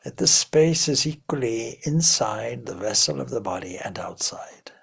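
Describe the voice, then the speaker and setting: A man with a very husky, gravelly voice leads a guided meditation. He speaks slowly and in measured phrases, leaving long pauses as he gently instructs. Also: medium pitch, expressive. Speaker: meditation teacher.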